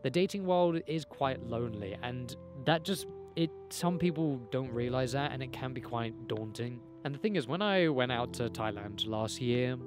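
A young man talks to the camera he holds, out in an open field. He is loose and candid, rambling, swearing and laughing as he goes. His pitch sits mid-range for a man, with faint music under the voice. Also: expressive, moderate pace, hardly any pauses. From England. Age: young adult. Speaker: self-improvement vlogger. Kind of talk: casual talk to camera. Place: outdoors in a green field by a hedge. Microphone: handheld camera mic, close.

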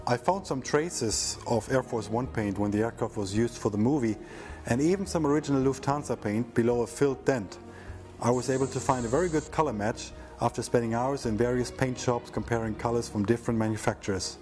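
A man's voice: medium pitch, a little fast, darkish tone, faint music behind. A middle-aged man giving scripted voice-over narration about his own restoration project.

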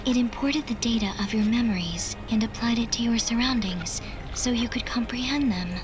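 An actress voicing a detached digital AI girl in a video game cutscene, measured and precise. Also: fairly high pitch, background noise, lightly acted. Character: calm female digital AI girl, cryptic. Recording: game audio with music underneath.

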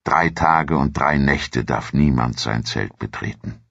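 A man reading a scripted historical documentary in a measured, dramatic storytelling manner. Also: a bass voice, creaky, fairly bright tone, no room sound, muffled recording. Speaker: narrator.

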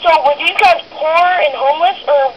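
A polite, formal man talks on the phone in a high voice. He keeps asking careful questions and stays suspicious. His voice comes through a phone speaker with faint background noise. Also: normal speed, fairly bright tone, poor audio. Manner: polite and formal, calls the caller sir. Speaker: prank call target. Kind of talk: phone conversation. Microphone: heard through a phone speaker.

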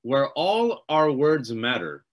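A man's voice: medium pitch, huge pitch swings, slow. A man in his thirties from the United States, hosting a video-call interview from a room lined with bookshelves, talking into a podcast mic and gesturing with his hands. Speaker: host.

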